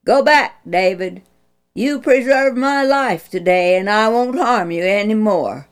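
Storybook: a woman as a repentant aging king, slow and conceding. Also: mid-range voice, smooth, voice pushed up, close to an everyday voice. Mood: remorseful. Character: aging male king, remorseful.

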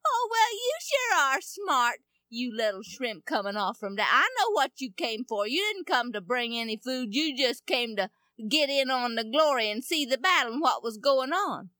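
Sneering, belittling older brothers in a storybook radio tale, as a woman puts them on, drawling with scorn. The voice is bright and swings widely, recorded dry and close. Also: high voice, sing-song, normal speed, no echo, full character voice. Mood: mocking. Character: mocking older brothers, grown men.

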